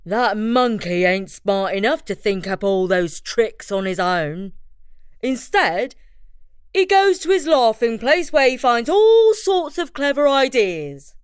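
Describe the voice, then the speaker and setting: A woman's voice: fairly high pitch, sing-song, takes it slowly, clear, resonant tone, no echo, pitched above the performer's own voice. A woman voicing a big, stubborn, scheming male bear with emphatic, folksy speech in a bedtime story. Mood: persuasive.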